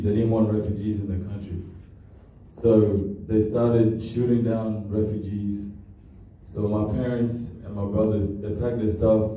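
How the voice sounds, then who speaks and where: A young man born in Kenya and raised in Australia tells his family's refugee story as a halting, plainspoken presentation. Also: fairly low pitch, warm tone. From born in Kenya to South Sudanese parents, raised in Australia. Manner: halting, restarts often, plainspoken. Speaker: student presenter. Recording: very echoey hall from far off.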